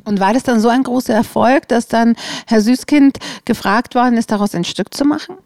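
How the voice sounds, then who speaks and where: Female podcast interviewer, fairly high voice, dry close recording, conversational delivery. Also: a little fast, darkish tone, no room sound.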